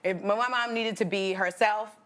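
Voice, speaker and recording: A woman co-hosting a casual panel chat, animated and laughing often. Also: mid-range voice, moderate pace. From Washington, D.C.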